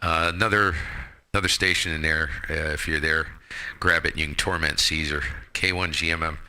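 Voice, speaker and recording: Casual ham radio streamer: man, low forceful voice, emphatic and slangy. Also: fairly even intonation, brisk, slight background noise. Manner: emphatic, colorful slangy talk. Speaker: amateur radio operator and streamer.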